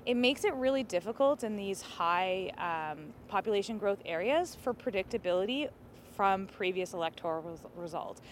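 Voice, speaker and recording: A woman's voice: mid-range voice, lively intonation, moderate pace. Analytical woman in an interview, faint noise. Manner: thoughtful, analytical, with some hesitations. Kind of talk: interview answers.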